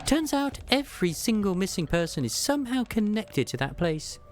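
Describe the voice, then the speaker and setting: A man voicing a brisk, businesslike female agent explaining a mission in a story game. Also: sits high, big pitch swings, voice pushed up. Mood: brisk, serious. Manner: matter-of-fact, explanatory, businesslike. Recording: music behind the voice.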